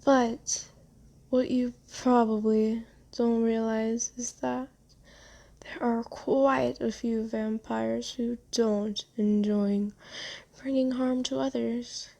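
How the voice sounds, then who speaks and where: A woman playing a gentle, genteel vampire in an ASMR roleplay, soft-spoken, comforting and unhurried. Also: a little high, level tone, gravelly, exaggerated voice. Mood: patient explaining. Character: gentle, soothing, genteel vampire who bought a captive. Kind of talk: ASMR roleplay, scripted character acting.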